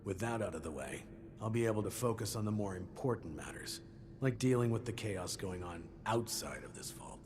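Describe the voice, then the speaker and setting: An actor voicing a composed male vault overseer in a video game, measured and businesslike. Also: on the low side, expressive, background noise, close to an everyday voice.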